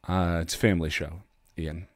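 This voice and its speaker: A man with a cold talking casually and haltingly, with self-deprecating asides, as a solo podcast host. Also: deep voice, steady tone, dry recording, clean studio sound. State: congested with a cold.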